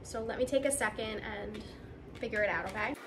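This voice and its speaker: A woman vlogger chatting casually to camera in an excited, gushing, conversational way, as in an unboxing video. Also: mid-range voice, brisk, a little hiss or hum.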